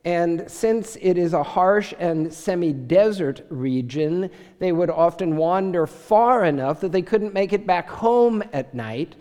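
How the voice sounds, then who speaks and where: Male pastor preaching, slightly higher voice, warm and mellow tone, echoing church hall. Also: expressive. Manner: measured, storytelling preacher. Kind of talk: sermon. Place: church sanctuary. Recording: reverberant church sanctuary.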